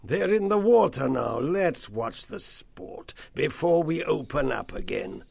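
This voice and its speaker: A man's voice: on the high side, expressive, measured pace, gravelly, darkish tone, husky, voice pushed up, exaggerated voice. A man voicing a cold, cruel rat army leader in an audiobook, cool and menacing. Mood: coolly menacing. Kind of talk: audiobook villain voice.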